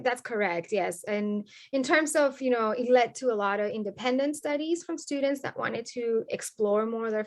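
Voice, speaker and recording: Brief: woman professor, Colombian Spanish accent, Spanish native, fairly high voice, earnest explainer. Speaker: sociology professor. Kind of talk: interview answers.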